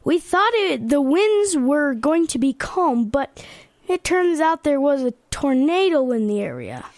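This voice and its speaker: A woman acts a defensive, eager political aide in comedy radio. The voice is high and lively, exclaiming with wide swings, recorded very dry. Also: a little slow, no room sound, exaggerated voice. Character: defensive, eager campaign aide called Peterson. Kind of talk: comedy radio theater acting.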